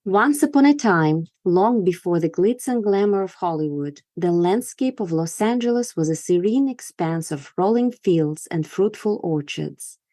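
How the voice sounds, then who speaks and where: A woman podcast host with a lowish, mellow voice delivers scripted narration in a storytelling format. The sound is close and dry, with very little room in it. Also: lively intonation, a little slow, few pauses, no echo.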